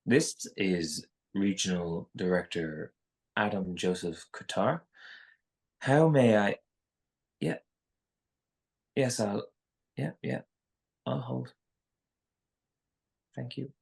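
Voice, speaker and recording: A nervy, deferential male office director, acted by a man at a live script table read. He is husky and gravelly, stammering and halting his way along at a slow pace. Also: fairly low pitch, exaggerated voice. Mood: officious, nervous.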